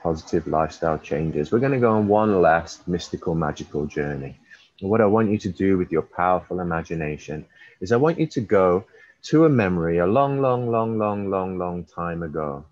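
A man leading a guided hypnosis session as a hypnotherapist, using repetitive, drawn-out and emphatic suggestive phrasing. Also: low voice, a little mellow. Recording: roomy, slightly dull recording.

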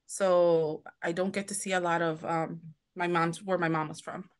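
A woman's voice: lowish voice, flat delivery, normal speed. A woman from the United States, a podcast guest talking casually and at length, often restarting sentences and acting out the people she quotes. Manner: chatty, many restarts, acts out quoted voices. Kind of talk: casual interview chat.

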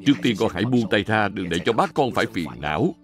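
A man playing a gentle, earnest family man in a dubbed children's cartoon. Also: lowish voice, animated, quick pace, over music, natural voice. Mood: gently instructive.

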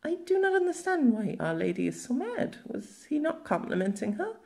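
A man's voice: extremely high pitch, very animated, normal speed, gravelly, darkish tone, pitched above the performer's own voice, exaggerated voice. A man voicing a shy young manservant boy in a storybook, hesitant and earnest. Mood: puzzled. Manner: hesitant, half muttered.

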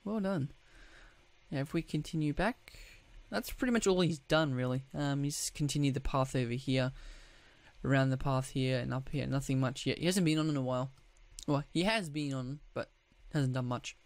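A man with a croaky, just-woken-up voice, giving casual gameplay commentary, rambling with restarts and throat clearing. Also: mid-range voice, moderate pace. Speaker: gaming YouTuber.

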